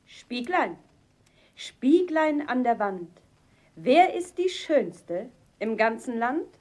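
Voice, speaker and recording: Casting: man doing a haughty storybook queen, very high falsetto, commanding, slow. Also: very animated, a little mellow, heavily acted. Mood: vain. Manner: high falsetto, imperious rhyming speech. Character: vain proud queen, adult woman.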